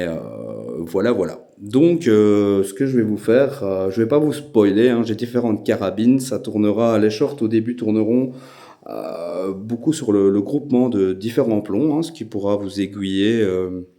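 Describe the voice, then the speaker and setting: A Belgian man with a Belgian French accent, hosting a casual vlog and talking with many hesitant filler pauses. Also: lowish voice, warm tone. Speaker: YouTube channel host.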